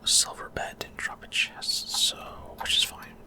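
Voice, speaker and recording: A man whispers close to the microphone in an ASMR style as he hosts a gaming video. His delivery is fully breathy and unvoiced, with a casual, halting way of explaining what is on screen. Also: pushed and bright. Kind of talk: whispered ASMR gameplay commentary.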